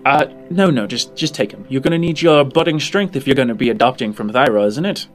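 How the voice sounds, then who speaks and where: A man's voice: medium pitch, animated, a little fast, soft background music, natural voice. A man voicing a smug, amoral information slicer in a tabletop game, genteel and condescending in manner. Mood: fussy. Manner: genteel, condescending, calls listener dear and darling.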